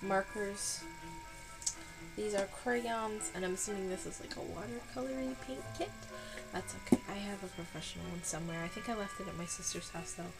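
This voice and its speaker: A woman's voice: mid-range voice, a little fast, over loud music, rough recording. A woman in her twenties from Buffalo, New York, chatting in a rambling, apologetic way in a home haul vlog, sounding nasal, tired and a bit sick. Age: 20s. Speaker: vlogger. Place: dark room at home.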